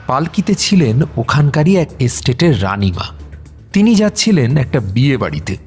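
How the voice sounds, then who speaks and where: A man tells a scripted adventure story in a medium-pitched voice. Faint music plays behind his narration.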